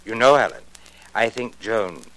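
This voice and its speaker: A pompous, patronizing business manager. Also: male voice, fairly low pitch, lively intonation, measured pace. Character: pompous older male business manager, smooth and condescending. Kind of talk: 1940s radio acting. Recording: old radio broadcast with faint hiss.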